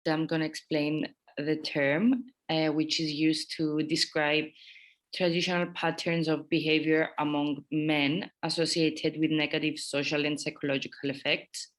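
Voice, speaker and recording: A woman's voice: low-pitched, little pitch movement, a little slow. A woman from Cyprus, giving a prepared explanation on a podcast. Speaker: podcast participant.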